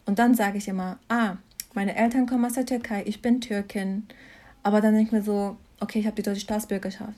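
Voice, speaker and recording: A woman's voice: mid-range voice, fairly even intonation, fairly quick, dark tone. A young woman from Germany, talking casually about her own experiences as an interview guest. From Mannheim, Germany. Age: young adult. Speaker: interview guest, science student. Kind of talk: casual conversational interview, personal account.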